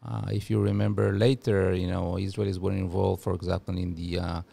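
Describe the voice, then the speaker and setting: Man, 40s, from Uruguay, gravelly and low, halting guest speech into a studio mic. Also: little pitch movement, dark tone. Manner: halting, many restarts and fillers. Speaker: guest, former diplomat. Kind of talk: podcast interview. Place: studio office room. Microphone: studio mic with headphones.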